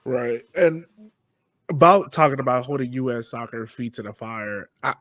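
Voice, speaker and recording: A man's voice: medium pitch, measured pace, a bit bright, no room sound. A man hosting a podcast interview, talking in a casual, conversational style. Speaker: podcast host.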